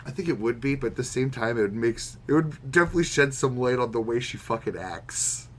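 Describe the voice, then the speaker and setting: A man's voice: medium pitch, animated, a little fast, fairly bright tone. A man co-hosting a podcast, chatting casually and musing with plenty of swearing. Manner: opinionated, musing, swears freely. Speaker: podcast co-host. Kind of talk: casual chat about manga.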